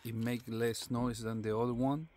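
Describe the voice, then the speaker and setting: A man reviewing a product casually, thinking aloud in short, halting fragments. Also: mid-range voice, flat delivery, darkish tone.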